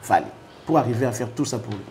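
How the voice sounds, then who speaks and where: Male interviewer, dark relaxed tone, probing delivery, light background noise. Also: medium pitch, fast talker. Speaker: interviewer, host.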